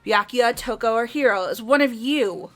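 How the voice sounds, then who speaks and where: A woman's voice: fairly high pitch, normal speed, fairly bright tone, lightly acted. A woman voicing a blunt, hot-headed young female athlete who insists on her accusations. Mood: accusing. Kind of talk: fan voice acting of a game.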